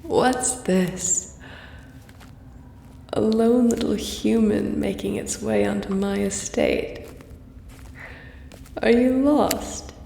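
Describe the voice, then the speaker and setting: A woman acts out a seductive female vampire, haughty and predatory, for an intimate audio roleplay. She draws her words out very slowly, with a gravelly creak, and often slips into a teasing whisper. A faint noise sits behind the voice. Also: medium pitch, dark tone, exaggerated voice. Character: flirtatious predatory female vampire, lady of an estate.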